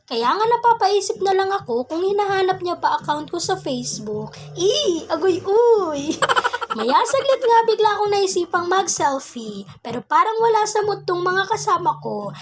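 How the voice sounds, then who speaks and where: Young woman, Filipino accent, extremely high voice, lively swooping intonation, playful vlog narration, giggly. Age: young adult. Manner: playful, giggly, mixes English into Tagalog. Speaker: vlogger. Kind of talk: scripted casual vlog narration with slang.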